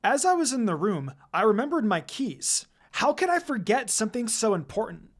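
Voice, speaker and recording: Lively, expressive story reader. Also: mid-range voice, moderate pace. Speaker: fan fiction reader. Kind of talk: expressive first-person story narration.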